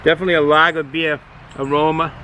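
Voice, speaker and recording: A middle-aged man with a Boston accent, casually reviewing a beer outdoors, rambling and halting with many fillers. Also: a little high, flat delivery, sharp tone, highs cut off, a little hiss or hum. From Boston area, United States. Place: outdoors, sun in his eyes.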